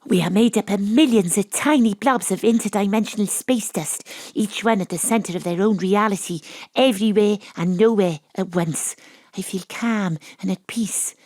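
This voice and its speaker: A woman with a Welsh accent playing a brash, wry, tipsy private detective in an audio drama, deadpan on a close microphone. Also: mid-range voice, moderate pace, dry recording, natural voice. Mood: dreamy, wry. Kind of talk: audio drama acting with first-person narration. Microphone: close home studio microphone.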